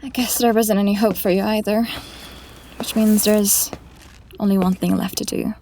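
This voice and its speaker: A woman's voice: mid-range voice, fairly even intonation, moderate pace, warm tone, a little hiss or hum, close to an everyday voice. A woman playing a weary, resolute, grieving heroine in a fantasy audio drama, solemn and restrained. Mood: grieving, resigned. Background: music.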